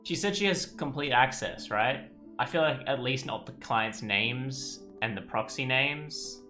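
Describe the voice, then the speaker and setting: A male streamer talks casually through a game with a bright, sharp-edged voice at middling pitch. He rambles and pokes fun at himself, with music playing behind him. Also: measured pace. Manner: rambling, self-deprecating. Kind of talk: casual let's play commentary.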